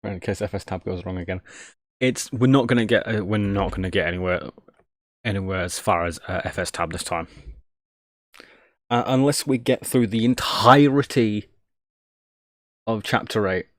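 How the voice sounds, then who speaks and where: A man's voice: fairly low pitch, steady tone, normal speed, long pauses, no room sound, studio quality. A man casually thinking aloud on a live tech stream, jokey and self-deprecating, muttering each step as he works.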